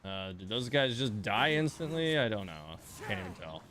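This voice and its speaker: Low-voiced man casually commentating a game. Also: moderate pace. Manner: thinking aloud, relaxed and informal. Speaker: game streamer and host. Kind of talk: casual live gameplay commentary.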